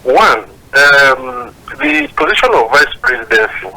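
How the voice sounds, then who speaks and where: A Nigerian man calling a talk show over a thin phone line, giving political opinions in an emphatic, deliberate, argumentative way. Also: mid-range voice, animated, bright, forceful tone. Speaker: phone-in caller. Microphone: phone call.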